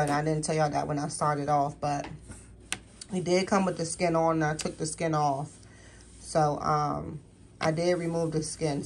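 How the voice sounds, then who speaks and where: A woman's voice: on the low side, slight background noise. A woman from the chatting casually to her viewers in a home kitchen, filming on her phone, folksy and talkative. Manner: chatty, folksy, talks straight to viewers as y'all. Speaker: home cooking vlogger. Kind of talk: casual cooking video chat to viewers.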